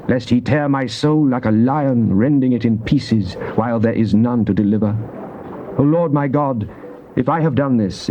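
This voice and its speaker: An actor playing a devout psalmist in a dramatized scripture reading, with a British accent and solemn, declamatory, measured phrasing. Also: male voice, mid-range voice, mellow, natural voice. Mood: urgent appeal. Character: devout psalmist pleading and praising God. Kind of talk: dramatized scripture reading, classical stage delivery. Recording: dull, noisy old cassette tape.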